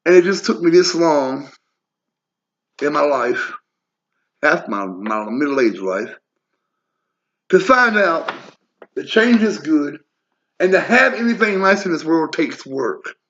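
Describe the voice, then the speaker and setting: A man in his forties talks on in a wandering personal monologue, blunt and full of swearing. His voice sits a little high for a man. The recording is dull and lo-fi. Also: sing-song, slow, long pauses, fairly bright tone. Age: 40s. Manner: blunt, profane, wandering asides. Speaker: vlogger. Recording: lo-fi muffled audio.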